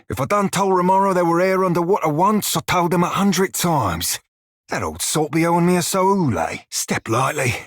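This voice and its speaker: An actor voicing a wry, foul-mouthed pirate shiphunter in a video game, speaking a colloquial sailor's dialect with a gritty, husky voice. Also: male voice, a little high, fairly bright tone, no room sound, studio quality. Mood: animated, teasing. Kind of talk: video game character dialogue.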